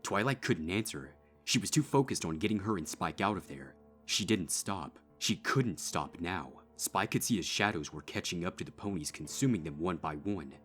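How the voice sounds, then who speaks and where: A man reading a dark horror story as a dramatic scripted narration. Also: low-pitched, lively intonation, normal speed. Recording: music behind.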